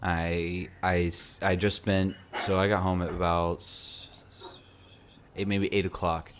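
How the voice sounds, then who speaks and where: Low-voiced man rambling, losing his voice. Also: little pitch movement, slow, background noise. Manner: rambling, full of restarts and "like". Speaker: podcast host. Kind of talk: casual chat. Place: apartment beside a busy night market.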